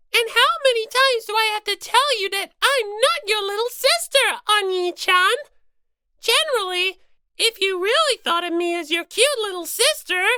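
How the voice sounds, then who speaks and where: Squeaky, indignant little rabbit mascot. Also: female voice, sharp tone, no room sound, studio quality, exaggerated voice. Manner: whiny, scolding, exclaiming. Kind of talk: dramatized audiobook character reading.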